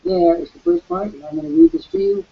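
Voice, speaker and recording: Croaky, gravelly man pitching hard. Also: fairly even intonation, fast, dull audio. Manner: emphatic, preacherly salesman. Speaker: webcast host. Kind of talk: live sales pitch.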